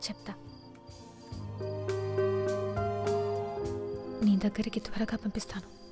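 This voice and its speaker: A woman's voice: mid-range voice, level tone, quick pace, over loud music, lightly acted. An actress playing a devoted, strong-willed young woman in love, speaking intimate, naturalistic film dialogue. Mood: tender. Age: young adult. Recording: film soundtrack with faint ambient noise.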